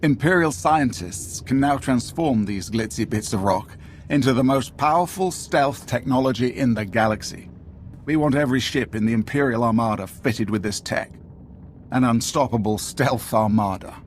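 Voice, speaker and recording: A man's voice: medium pitch, moderate pace, close to an everyday voice. A stern Imperial military commander from a game cinematic, acted by a man. He speaks in a middle register with clipped, scornful authority, over faint background noise. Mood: stern, briefing. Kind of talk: dramatic video game acting.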